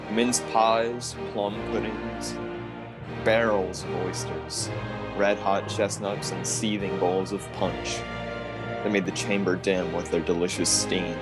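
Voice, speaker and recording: A storybook narrator, performed by a man with a lowish voice, reading radio play narration. Prominent instrumental music plays behind the words. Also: fairly even intonation, takes it slowly. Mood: storytelling. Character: storybook narrator of a Christmas tale. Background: loud music.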